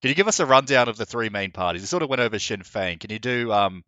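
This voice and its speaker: A man from New Zealand co-hosting a casual podcast chat, often restarting and rising in pitch when he gets worked up. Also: lively intonation, fast talker, pushed and bright, no room sound, dull audio. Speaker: podcast co-host.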